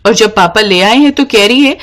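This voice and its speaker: A woman voicing a fond, teasing village mother in a cartoon moral story, affectionate and gently scolding. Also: mid-range voice, normal speed, natural voice.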